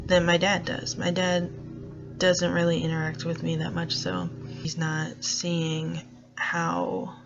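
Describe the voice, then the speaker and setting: Young man with a soft feminine-sounding gravelly voice, slow. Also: a little high, lo-fi sound. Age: young adult. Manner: reflective, hesitant, searching for words. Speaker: college student and grocery worker. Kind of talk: personal documentary monologue.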